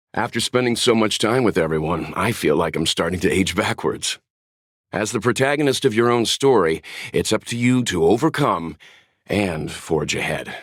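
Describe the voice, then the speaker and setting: An actor playing a calm, kindly, seasoned space traveler and mentor in a video game, speaking in a measured, reflective way. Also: male voice, low-pitched, husky, no echo, studio quality, heavily acted. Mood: warm encouragement. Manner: measured, reflective, gently avuncular. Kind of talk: scripted game character voice lines.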